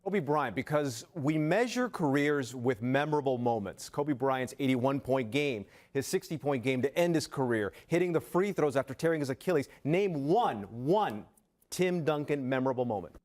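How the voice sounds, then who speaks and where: Man arguing sports, mid-pitched, emphatic. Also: expressive, moderate pace, darkish tone. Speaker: sports commentator. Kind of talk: opinionated sports debate.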